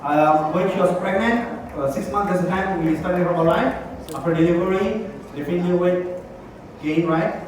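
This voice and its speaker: A man's voice: sits high, moderate pace. Bangladeshi man presenting in an echoey hall. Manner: emphatic, repeats "okay" and "right" as check-ins. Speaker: doctor speaking as a product distributor. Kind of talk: informal promotional presentation to a live audience. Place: large echoing room at a live event. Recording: very echoey hall, distant mic, noisy.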